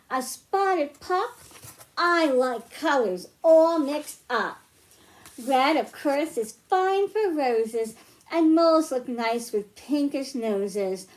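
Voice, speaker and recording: A woman with an American accent and a high voice leads a storytime for young children. She takes her time, speaking very slowly in simple phrases pitched at little listeners, as a children's librarian does. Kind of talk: picture-book read-aloud and simple teaching for young children.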